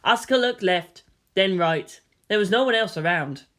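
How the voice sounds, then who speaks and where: A woman's voice: mid-range voice, expressive, moderate pace, a bit bright. A woman narrating a scripted story as its reader.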